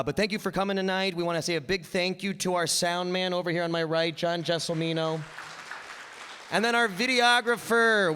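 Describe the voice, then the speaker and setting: Male live-show announcer and narrator. High voice, reverberant hall, faint background noise. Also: fairly bright tone. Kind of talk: live stage announcing to audience.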